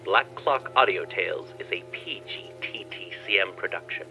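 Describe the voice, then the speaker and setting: A man's voice: low voice, normal speed, vocal fry, bright, forceful tone, low-quality recording. A man reading a scripted podcast introduction as announcer, with music behind him. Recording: music under the voice.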